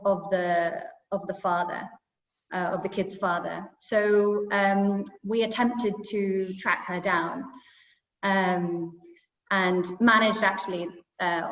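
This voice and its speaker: Hesitant woman on an echoey call. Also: medium pitch. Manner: halting, thoughtful, many fillers and restarts. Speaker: journalist. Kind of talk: video call interview. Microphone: video call. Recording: echoey, roomy, dull video call audio.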